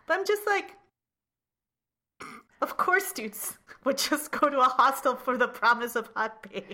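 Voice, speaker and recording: A woman's voice: high-pitched, animated, measured pace, sharp tone. A woman co-hosting a casual chat podcast, chatty and laughing often, with lots of "like" fillers. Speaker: podcast co-host.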